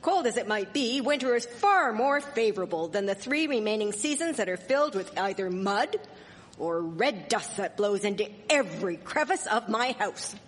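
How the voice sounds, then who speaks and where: A woman playing a sharp-tongued, indignant Victorian village wife in a live radio drama, scolding and sarcastic in formal diction. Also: mid-range voice, lively intonation, normal speed, natural voice. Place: performing arts theatre stage. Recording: echoey hall, live stage performance.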